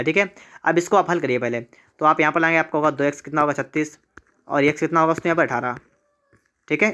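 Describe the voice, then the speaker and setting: Man teaching math, fairly high voice. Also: a bit bright, clean tone. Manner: repeats himself, asks and answers his own questions. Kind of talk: exam tutorial, step-by-step problem solving.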